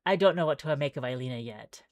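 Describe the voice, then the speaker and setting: A woman's voice: very deep voice, lively intonation, quick pace, no echo. A woman streaming a visual novel, reading the game text aloud with theatrical flair and chatty asides.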